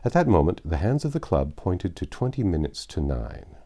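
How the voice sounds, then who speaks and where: A man's voice: low voice, wildly swooping pitch, normal speed, creaky, dark tone. A man narrating a classic novel as an audiobook, reading expressively with stressed phrases.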